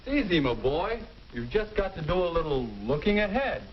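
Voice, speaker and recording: A man's voice: high voice, sing-song, fairly quick. A young actor playing an upbeat teenage boy in an old educational film, eager and wholesome. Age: young adult. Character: upbeat teenage boy making life plans. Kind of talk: 1950s educational film acting. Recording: muffled old film soundtrack with hiss.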